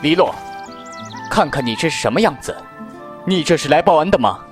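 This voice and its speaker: A man playing a proud, weary fox-spirit king and stern elder brother in a Chinese audio drama, measured and aloof. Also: on the high side, animated, faint music behind, close to an everyday voice.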